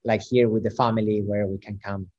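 A man whose first language is Spanish, a professional soccer player answering interview questions in English, often halting to search for words. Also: fairly low pitch, little pitch movement, darkish tone, muffled recording.

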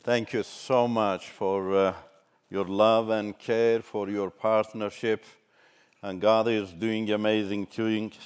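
An older man from Iran whose first language is Turkish, giving a measured, halting personal testimony as a ministry speaker. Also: lowish voice, flat delivery, clear, resonant tone.

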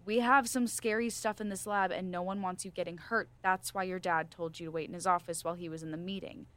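A woman playing a firm but gentle research scientist in an audio drama, speaking in a measured, formal interviewer's manner. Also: fairly low pitch, fairly even intonation, close to an everyday voice. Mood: kindly admonishing. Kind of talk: student audio drama acting.

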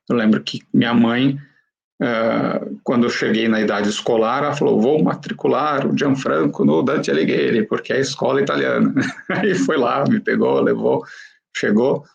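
A man with a Brazilian accent answers in an interview in a conversational way. His mid-pitched voice has a noticeable creak, and he tends to punctuate his points with little tag questions. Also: moderate pace, highs cut off. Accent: Brazilian Portuguese. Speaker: interview guest, company professional.

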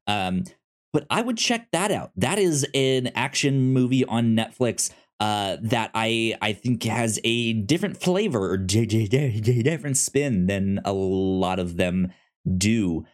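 A man co-hosting a podcast, chatting casually about films in a halting way, often stammering and repeating syllables. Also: lowish voice, expressive. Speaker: podcast co-host. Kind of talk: casual film review chat.

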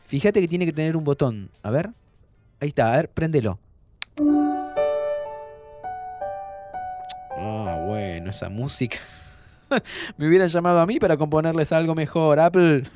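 A man's voice: fairly high pitch, animated, moderate pace, a little mellow, soft background music, natural voice. An actor playing a playful, impatient young man with an Argentine accent, informal and teasing, in a naturalistic audio drama. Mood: excited, amused. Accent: Argentine Rioplatense. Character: pleading, playful, impatient young man planning time travel. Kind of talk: naturalistic audio fiction acting.

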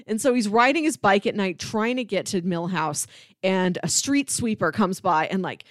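A woman co-hosting a podcast, casually retelling scenes like an animated storyteller. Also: mid-range voice, normal speed, no room sound, clean studio sound. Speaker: podcast co-host.

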